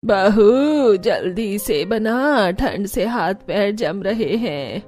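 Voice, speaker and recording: A woman's voice: mid-range voice, animated, very slow, a little mellow. Older village mother-in-law played by a woman. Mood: impatient. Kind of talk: cartoon story dialogue.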